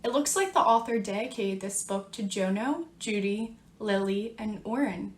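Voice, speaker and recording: A woman's voice: medium pitch, moderate pace, echoey room. A woman teacher leading a picture-book read-aloud, speaking simply and instructively and prompting children with questions. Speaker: teacher reading aloud to students.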